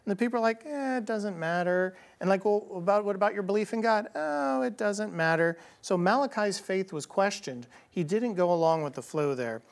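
A man co-hosting a Bible prophecy TV program, teaching in a conversational, animated way and voicing quoted people casually. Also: high-pitched, moderate pace, vocal fry, hardly any pauses.

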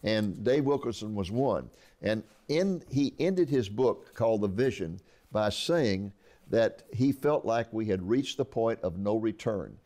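A man's voice: lowish voice, expressive, measured pace, dark tone. A man in his eighties, an author interviewed about his book, speaking earnestly and often restarting his words. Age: 80s. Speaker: author guest. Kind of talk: conversational interview.

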